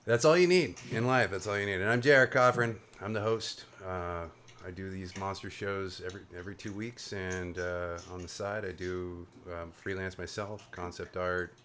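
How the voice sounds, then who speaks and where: A man's voice: low-pitched, steady tone, moderate pace, a bit bright, a little hiss or hum. A man hosting a casual panel chat over a video call, joking and rambling. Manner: jokey, rambling, self-mocking. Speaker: host of a panel show.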